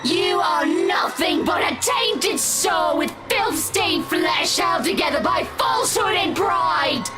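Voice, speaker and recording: A woman voicing a cruel, mocking two-headed female apparition in a horror game, taunting with drawn-out, venomous words. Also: very high voice, animated, raised voice, over music, full character voice. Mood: screeching fury. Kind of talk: horror visual novel voice acting.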